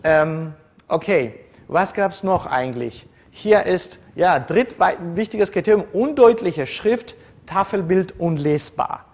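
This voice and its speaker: Rambling lecturer from the former Soviet Union. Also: male voice, sits high, lively intonation, takes it slowly, darkish tone. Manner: digressive and conversational, many fillers and self-corrections. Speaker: university lecturer. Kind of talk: informal lecture to students.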